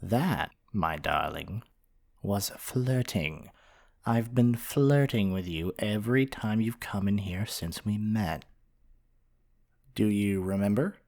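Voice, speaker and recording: A man's voice: fairly low pitch, slow pace, gravelly, darkish tone. A man playing a flirty, possessive half-dragon librarian in an intimate ASMR roleplay, speaking earnestly and affectionately with tender endearments. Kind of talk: ASMR romantic roleplay monologue.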